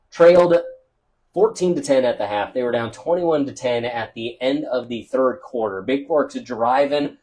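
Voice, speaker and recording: Loud, chatty male sports broadcaster. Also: mid-range voice, poor audio. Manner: loud, chatty, rattles off scores and stats with frequent uh fillers. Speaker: local radio sports broadcaster. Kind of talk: postgame wrap-up commentary.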